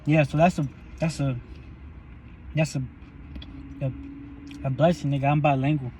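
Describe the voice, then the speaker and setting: A man's voice: a little high, fairly even intonation, normal speed, warm tone, a little hiss or hum, poor audio. A young man talking while he eats in a parked car, in a loose, rambling and profane way for his vlog. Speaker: vlogger. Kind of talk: casual eating vlog monologue.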